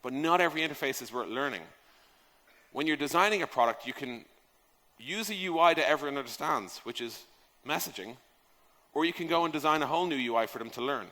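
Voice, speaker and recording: Guy doing a casual conference talk, bright forceful voice, big echoey hall, punchy and conversational. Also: a little high, expressive, fairly quick. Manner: emphatic, conversational, rhetorical questions, casual swearing. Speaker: conference speaker on software product design. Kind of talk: conference talk, informal presentation. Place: large conference hall, on stage. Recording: echoey hall, long reverb.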